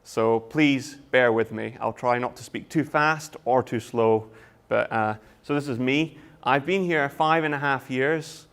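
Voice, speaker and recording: Brief: Scottish lecturer, man, 30s, presenting to students, halting with fillers. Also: medium pitch, fairly bright tone, clear, resonant tone. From Scotland. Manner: hesitant, frequent uh fillers and restarts. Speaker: university lecturer. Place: lecture room.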